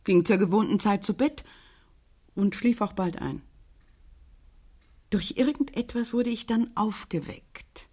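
A woman playing a sad, guarded widow in a German radio crime drama, speaking gently in a hushed, hesitant, measured way. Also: mid-range voice, natural voice. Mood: haunted recollection.

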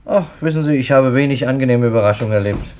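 An actor playing a skeptical, matter-of-fact male police detective in a 1950s radio drama, brisk and businesslike. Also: medium pitch, a little mellow, slight background noise, lightly acted. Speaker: police investigator.

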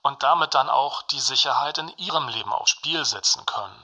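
An actor playing a smug turncoat singer-poet in a radio play, glib and self-justifying. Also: male voice, medium pitch, fairly quick, sharp tone.

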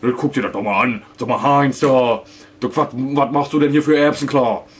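A man's voice: medium pitch, steady tone, moderate pace, husky, natural voice. A man playing a simple, old-fashioned farmer with a Northern German accent, chatty and repetitive, constantly tagging his phrases with questions, in a comedic audio play. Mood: agitated, indignant.

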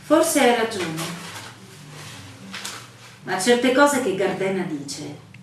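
An actress playing an anguished, suspicious young barmaid wounded in love, in a theatrical radio drama manner with dramatic pauses. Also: female voice, mid-range voice, big pitch swings. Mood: bitter, wounded. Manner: emotional, dramatic pauses, swinging intensity. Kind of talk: theatrical stage-style radio drama acting. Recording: very echoey room, distant mic, faint noise.

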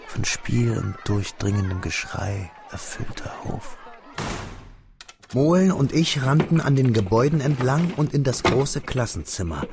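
Low gravelly man narrating his school memories for a radio play, wistful and measured, music behind. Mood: vivid recollection. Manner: measured, detailed, literary storytelling. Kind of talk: scripted literary narration in a radio drama.

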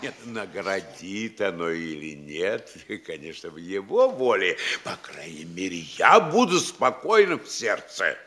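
A self-righteous middle-aged town mayor in a classic Russian radio comedy. He fawns pompously and theatrically, speaking slowly in a bright, forceful voice with widely swinging pitch. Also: big pitch swings, exaggerated voice. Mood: pompous, self-praising.

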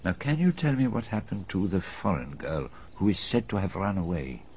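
An actor playing a polite older detective questioning witnesses in a radio drama, with formal, probing phrasing. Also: male voice, deep voice, very animated, moderate pace, a little hiss or hum. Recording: dull lo-fi radio drama recording.

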